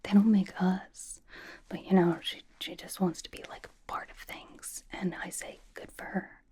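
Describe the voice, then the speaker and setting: A woman voicing a blunt but cozy young female pop star in a breathy, casual, halting ASMR whisper, doting on the listener. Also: fairly low pitch, level tone, warm tone, lightly acted. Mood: wry, casual. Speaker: ASMR roleplay performer. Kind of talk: whispered ASMR personal-attention roleplay.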